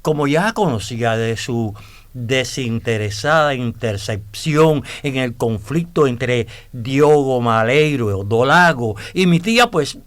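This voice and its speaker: An actor playing a self-assured, scheming blackmailer in a radio drama, speaking in a deliberate, insinuating, theatrical way. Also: male voice, medium pitch, no room sound, natural voice. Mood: accusing, confident. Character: scheming, self-assured male blackmailer proposing a treasure alliance.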